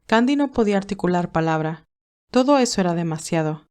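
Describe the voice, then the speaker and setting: A woman narrator reading a romantic drama as a scripted audiobook, in a medium-pitched voice. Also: expressive, brisk, a little mellow.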